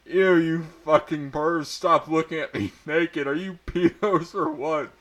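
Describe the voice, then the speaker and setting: Guy doing angry goth teen girl, melodramatic n indignant, pitched up a bit, comedy story reading. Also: a little slow, a bit bright. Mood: disgusted, indignant. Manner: indignant exclamations. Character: melodramatic, foul-mouthed, furious teenage goth girl.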